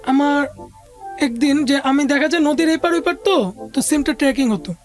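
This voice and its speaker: A woman's voice: high-pitched, moderate pace. A woman with a Bangladeshi accent telling a personal story as an interview guest, in casual, conversational language with some English words mixed in. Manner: casual colloquial Bengali with occasional English business words. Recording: music playing under the voice.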